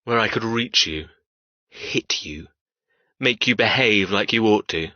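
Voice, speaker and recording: Cold menacing male captor, acted by a man, hard forceful tone, clipped taunts, sneering control, audiobook drama, dry close sound. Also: fairly low pitch, unhurried, dry recording, lo-fi sound. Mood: cold, threatening.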